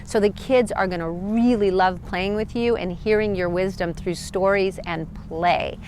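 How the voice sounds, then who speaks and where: An American woman teaching about chakras, talking casually to camera outdoors in a park, gentle and upbeat. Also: medium pitch, measured pace, slight background noise. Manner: gentle, upbeat, laughs often. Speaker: chakra teacher, channel host.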